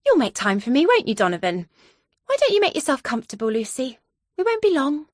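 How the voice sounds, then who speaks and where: A woman's voice: sits high, wildly swooping pitch, brisk, no echo, studio quality, pitched above the performer's own voice, exaggerated voice. A woman voicing a brash, flirty female estate agent in an audiobook, breezy, emphatic and pushy. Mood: flirty, teasing.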